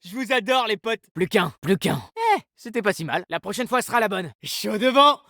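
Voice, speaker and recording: A man's voice: high voice, animated, moderate pace, bright, forceful tone, no echo, studio quality, full character voice. A man voicing a laid-back young male agent in a video game, casual, slangy and upbeat, speaking in. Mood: upbeat, encouraging.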